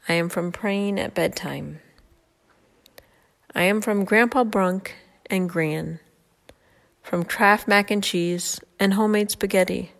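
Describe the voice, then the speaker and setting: A woman reading a personal poem aloud in a steady, rhythmic recitation. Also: medium pitch, vocal fry.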